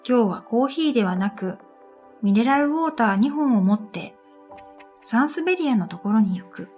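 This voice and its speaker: A woman narrating a scripted first-person radio drama in a gentle, unhurried way, with breathy phrase endings. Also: a little high, animated. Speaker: narrator and storyteller. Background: new-age music.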